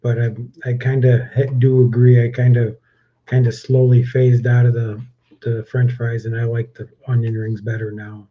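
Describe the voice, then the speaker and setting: A man in his fifties talks in a flat, steady, mid-pitched voice on a casual livestream. He pauses and restarts with ums. The audio is dull and lo-fi. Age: 50s. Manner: even, measured, restarts with ums. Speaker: co-host of a livestream. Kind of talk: casual question-game chat.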